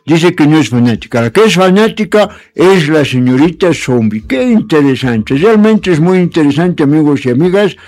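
A man's voice: a little high, lively intonation, normal speed, few pauses, darkish tone, natural voice. A man playing a courteous, earnest radio host with a formal, repetitive manner in a comic radio play. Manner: formal, repetitive, addresses listeners. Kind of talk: comic radio theatre hosting.

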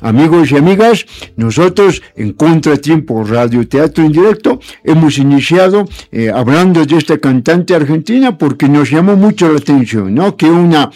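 A man's voice: fairly high pitch, expressive, normal speed, few pauses, darkish tone. A man playing a courteous, earnest radio host with a formal, repetitive manner in a comic radio play. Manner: formal, repetitive, addresses listeners. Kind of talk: comic radio theatre hosting.

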